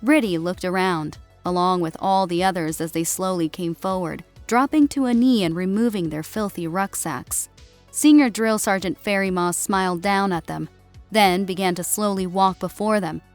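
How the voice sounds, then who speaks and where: A woman narrates a scripted story as an audiobook reader. Her voice sits a little low. Quiet music runs behind the narration. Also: moderate pace.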